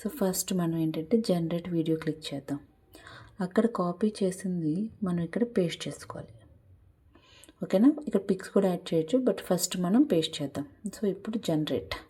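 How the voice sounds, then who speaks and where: A woman's voice: fairly low pitch, moderate pace, warm tone, husky. A woman hosting a casual step-by-step tutorial, mixing in English words. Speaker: tutorial host.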